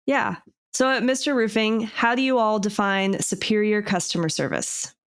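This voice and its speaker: A woman hosting a business podcast, asking questions and warmly affirming the guest's answers. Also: mid-range voice, moderate pace. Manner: upbeat, affirming interviewer. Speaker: podcast host. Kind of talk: casual interview.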